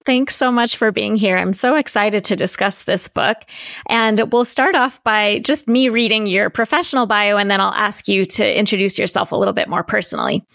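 A woman hosting an interview podcast, speaking in an enthusiastic, friendly way. Her voice has a noticeable gravelly fry, and the recording sounds dry and close. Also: medium pitch, moderate pace, a bit bright, no echo. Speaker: podcast host.

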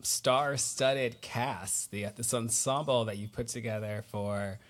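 A man's voice: medium pitch, fairly bright tone. A man hosting a podcast in casual interview chat, enthusiastic and informal. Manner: enthusiastic, informal, quick to laugh. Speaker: podcast host.

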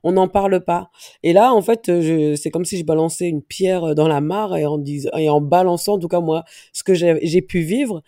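A woman from France speaking as an interview guest, giving a personal testimony and pausing often to search for her words. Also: fairly low pitch, mellow, no room sound, studio quality. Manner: halting, searches for words, many restarts. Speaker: interview guest, dance teacher.

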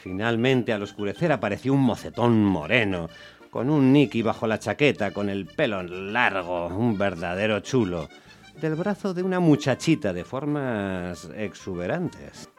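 An exasperated, luckless taxi driver narrates his tale in a radio play, acted by a man. His delivery is wry and animated, full of swings in pitch, with soft music behind. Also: measured pace. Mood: resigned, wry. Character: exasperated, unlucky Roman taxi driver telling his story. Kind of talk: dramatised first-person radio narration.

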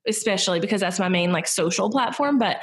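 A woman in her thirties speaks with a clear Southern US accent. Her voice sits in an ordinary middle register. She talks in a loose, chatty way, circling her point with "like" and "you know". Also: mid-range voice, quick pace. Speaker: podcast guest, business owner. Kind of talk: casual conversational interview.

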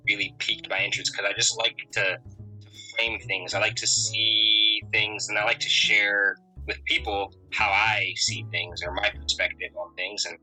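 A man's voice: lowish voice, little pitch movement, normal speed, pushed and bright, slightly rough, soft background music, low-quality recording. A man in his thirties from Connecticut, a guest telling stories in a casual, rambling way with many fillers. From Connecticut, USA. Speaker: interview guest, musician and photographer. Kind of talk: casual conversational storytelling.

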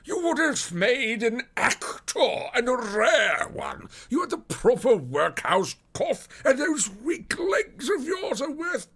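A man voicing a hearty, officious police detective with a bluff, raspy, drawling delivery in an audiobook story. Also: very high voice, very animated, slow pace, few pauses, fairly bright tone, pitched above the performer's own voice, full character voice. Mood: delighted. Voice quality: raspy, creaky.

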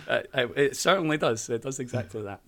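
A Scottish man in his thirties speaks in an easygoing, middle-pitched voice. He chats warmly as a guest, with frequent fillers and small restarts. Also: expressive, brisk. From Scotland. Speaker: primary school teacher. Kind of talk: conversational interview.

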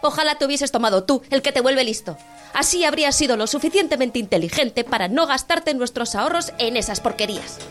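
High female voice, actress as weary scolding wife, sarcastic, worried, audio drama, faint music. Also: animated, a little fast. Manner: reproachful, sarcastic. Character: weary, sharp-tongued working-class wife, scolding and worried.

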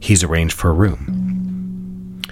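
An actor playing a controlling, coolly seductive lover in a period melodrama, terse and insinuating. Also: male voice, sits low, takes it slowly, over loud music. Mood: cool, commanding.

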